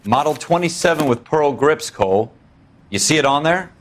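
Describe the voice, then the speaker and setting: A man's voice: a little high, expressive, normal speed. An actor playing a wry, world-weary patrol cop in a crime video game, grumbling and sardonic with his partner. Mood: resigned. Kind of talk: naturalistic video game crime drama acting.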